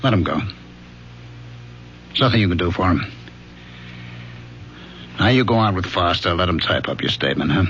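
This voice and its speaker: An actor playing a weary, gruff, fatherly veteran police lieutenant in a radio drama. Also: deep voice, animated, normal speed, long pauses, fairly bright tone, full character voice. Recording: dull, noisy old radio broadcast.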